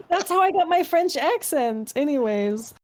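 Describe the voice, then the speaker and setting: High voiced woman rambling and giggling about her character. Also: expressive, slow pace. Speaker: player. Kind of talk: casual chat.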